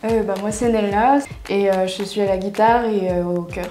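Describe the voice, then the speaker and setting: A young woman from Paris, a band guitarist, answering interview questions quietly with many hesitations. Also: medium pitch, steady tone, moderate pace, background noise. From Paris, France. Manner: soft-spoken, hesitant with many fillers. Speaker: band guitarist and backing singer. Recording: echoey room with music behind.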